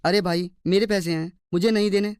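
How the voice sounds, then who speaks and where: A woman's voice: medium pitch, steady tone, a little fast, darkish tone, clean tone, no room sound, studio quality, lightly acted. A woman voicing a greedy, suspicious, newly rich man in a cartoon story, with a dismissive, grumbling manner. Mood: grumbling, possessive. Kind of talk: children's cartoon story dialogue.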